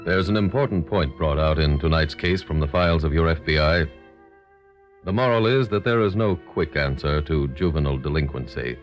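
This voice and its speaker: A man's voice: very deep voice, moderate pace. An American man as a solemn, authoritative narrator of an old radio crime show, delivering scripted commentary. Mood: solemn, earnest. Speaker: announcer-narrator. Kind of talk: 1940s-50s radio announcing, scripted narration. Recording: dull old radio recording. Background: music.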